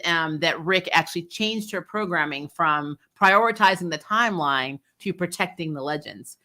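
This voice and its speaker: Bright-voiced woman chatting casually, expressive. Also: on the low side. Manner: expressive, informal slang, frequent laughing asides. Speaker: YouTube TV show reviewer, host. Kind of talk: casual, opinionated recap chat to camera.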